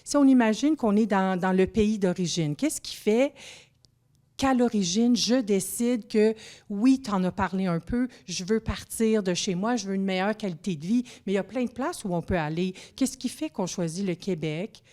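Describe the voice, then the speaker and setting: Warm-voiced woman hosting. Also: medium pitch, moderate pace. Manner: chatty, thinks aloud with many fillers. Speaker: host. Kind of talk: conversational panel interview.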